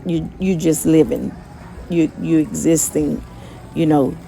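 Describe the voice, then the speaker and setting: Slow, gravelly, monotone woman. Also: sits low, soft background music. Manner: monotone, self-described; conversational, many fillers and restarts. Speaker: motivational vlogger and gig worker. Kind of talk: casual motivational talk.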